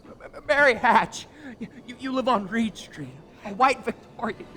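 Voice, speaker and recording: Stammering desperate man for a stage radio play, played by a young man, fairly high voice. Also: moderate pace, pushed and bright, a little hiss or hum. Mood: pleading. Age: young adult. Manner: stammering, pleading. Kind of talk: live radio play acting. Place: stage in a small theater. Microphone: vintage stand mic on stage.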